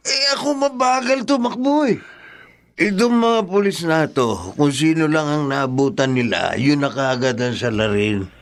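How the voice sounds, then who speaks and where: A man's voice: fairly high pitch, unhurried. A man playing a defensive, stubborn jailed father, emphatic and aggrieved, in Filipino-accented Tagalog radio drama. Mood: defensive, indignant. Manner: indignant, emphatic.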